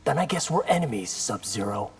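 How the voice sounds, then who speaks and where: A man's voice: medium pitch, sing-song, a little fast, breathy edge, faint music behind, full character voice. A man playing a defiant young martial artist hero in a video game, dry and sarcastic.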